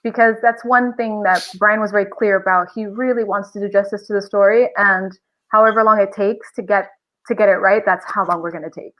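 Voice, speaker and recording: A woman's voice: medium pitch, steady tone, brisk, fairly bright tone, low-quality recording. A woman chatting casually and expressively as an enthusiastic voice actress guest on a podcast. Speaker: interview guest, audio drama voice actress.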